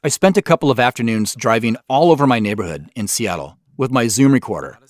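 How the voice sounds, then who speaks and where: A man's voice: medium pitch, expressive, quick pace, dry recording. A man giving scripted behind-the-scenes commentary as the creator of an audio drama.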